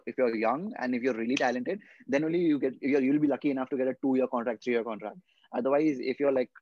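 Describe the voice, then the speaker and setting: A man's voice: medium pitch, darkish tone, dry recording. A young man from India, a guest footballer in a casual podcast chat, explaining earnestly and often repeating his words as he thinks. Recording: dull lo-fi podcast mic.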